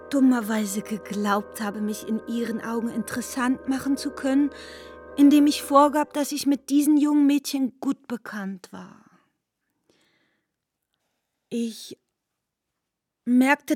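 Radio drama, actress as young woman: high voice, defensive and hurt, halting confidences that slip toward whispering, music underneath. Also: natural voice. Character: evasive, wounded young woman, pleading then sullen. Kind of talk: literary radio drama dialogue.

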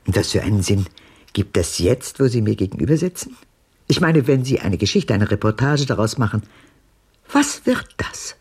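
An older actress playing a haunted old woman in a radio drama, speaking haltingly in a hushed, husky, gravelly, breathy voice. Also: a bass voice, big pitch swings, heavily acted. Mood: questioning. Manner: halting, hushed, deliberate delivery. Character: haunted old woman recalling her father's abuse, halting.

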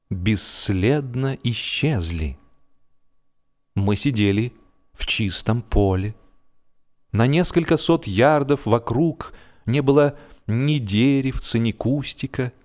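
A man narrating humorous literary prose like an audio play, with expressive, theatrical delivery and deliberate, drawn-out phrasing. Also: mid-range voice. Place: studio.

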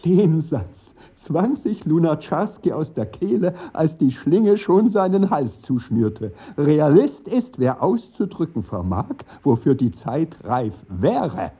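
An actor in a German radio play voicing an eloquent, bitter Soviet revolutionary critic in an emphatic, declamatory manner. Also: male voice, a little high, big pitch swings, takes it slowly, hardly any pauses, breathy edge, dull audio, full character voice. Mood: indignant, grave.

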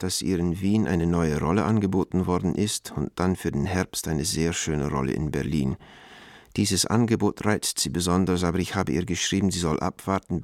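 An actor playing a worried art dealer in a radio play, reading out a letter to his friend in an earnest, measured, literary manner. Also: extremely low pitch, dry recording, studio quality.

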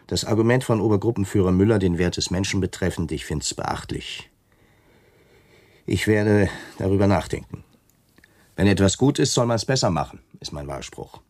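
Low, husky, cautious SS official. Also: male voice, animated, darkish tone. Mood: guarded. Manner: measured, hesitant, careful. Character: cautious, guarded wartime German SS official. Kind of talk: German radio play acting.

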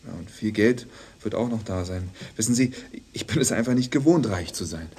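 An actor as a shy, halting young man. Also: on the low side, lively intonation, darkish tone, slight background noise, close to an everyday voice. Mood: hesitant, wistful. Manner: hesitant, halting short answers. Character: shy, lonely young man, hesitant and awkward. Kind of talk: 1990s German crime radio play acting.

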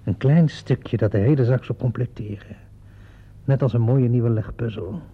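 A man's voice: mid-range voice, normal speed, breathy edge. A man acting a shrewd, theatrical police inspector in a radio play, smugly sure of himself and politely insistent. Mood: musing, confident. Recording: muffled old radio play recording.

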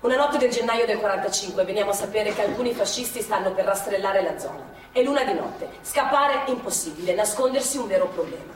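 A woman's voice: medium pitch, close to an everyday voice. An actress playing a plain-spoken, fiery former partisan woman, telling war memories in an emphatic, colloquial theatrical monologue. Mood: tense, recounting. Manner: colloquial, emphatic, halting for effect. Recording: echoey theatre, distant mic, faint noise.

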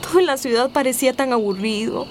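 A woman's voice: high-pitched, fast, a little hiss or hum, lightly acted. An actress playing an anguished, devout migrant woman in a radio soap, speaking in a pleading, emotional way. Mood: sorrowful. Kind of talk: radio soap opera acting.

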